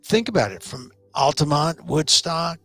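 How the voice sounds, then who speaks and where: Middle-aged male talk-show host, hoarse and scratchy, slightly higher voice, rambling opinion piece, soft music under him. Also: animated, unhurried. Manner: opinionated, loose rambling commentary with restarts. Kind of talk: live online talk show commentary.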